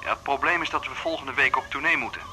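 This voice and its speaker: A man's voice: medium pitch, fairly even intonation, fast, pushed and bright, faint music behind. An actor in a radio drama playing a charming man courting a woman over the telephone. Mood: charming, wooing. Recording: faint noise behind the voice.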